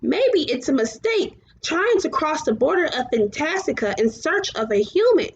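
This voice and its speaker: Woman playing a gentle male storybook dragon, coaxing, very high lilting voice, muffled recording. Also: sing-song, normal speed. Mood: gently questioning. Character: gentle, earnest luck dragon companion, male. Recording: muffled, clearly noisy home recording.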